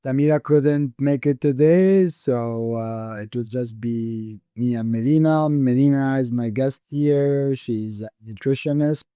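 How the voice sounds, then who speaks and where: A man hosting a relaxed interview, talking haltingly and restarting his sentences often. His voice is mellow and smooth, with an even, middle pitch. The recording is close and dry. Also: no echo, muffled recording. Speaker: podcast host. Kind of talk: casual interview chat.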